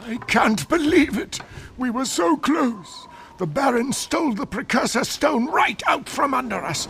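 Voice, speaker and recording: A high, gravelly male voice for a grave rebel sage in an animated game. He speaks with dramatic solemnity, with faint music behind him. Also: measured pace, exaggerated voice. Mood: dismayed, brooding. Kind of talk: animated video game acting.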